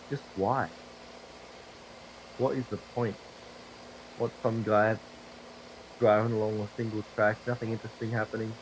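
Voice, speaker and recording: Low-voiced, hoarse young man swearing casually. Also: slight background noise, rough recording. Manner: profane, rambling, self-deprecating. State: hoarse from a cold. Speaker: gaming video creator. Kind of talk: casual gameplay commentary. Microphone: desktop microphone.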